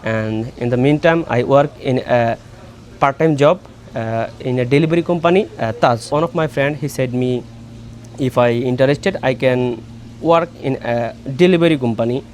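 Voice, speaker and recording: A man with a Bangladeshi accent, an international student, speaking halting English with frequent fillers in an interview. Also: mid-range voice, darkish tone, slight background noise. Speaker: international student and delivery worker.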